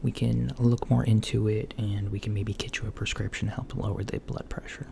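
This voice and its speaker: A man doing a soft-spoken, partly whispered ASMR roleplay as a calm, reassuring doctor, murmuring hesitantly with long pauses. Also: on the low side, creaky. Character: calm reassuring male alien doctor at a checkup. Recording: lo-fi with faint background noise.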